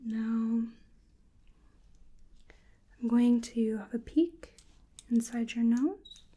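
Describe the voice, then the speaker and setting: A woman whispering softly and breathily in an ASMR roleplay as a polite, curious researcher doing a gentle exam. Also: fairly high pitch, fairly even intonation. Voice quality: breathy whisper and soft speech. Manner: gentle, hesitant, polite, unhurried.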